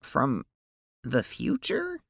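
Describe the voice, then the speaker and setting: A woman playing an anguished, blunt teenage sprite girl in an audio drama, speaking in a hesitant, emotional, halting way. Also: rumbling low, wildly swooping pitch, vocal fry, slightly rough, no room sound, clean studio sound, exaggerated voice. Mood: distressed, miserable.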